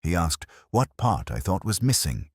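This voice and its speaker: A man playing a brooding, introspective older police detective, narrating a mystery in a measured, solemn, literary way. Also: low-pitched, big pitch swings, no room sound, studio quality, exaggerated voice. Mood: brooding, reflective. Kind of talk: scripted first-person mystery narration.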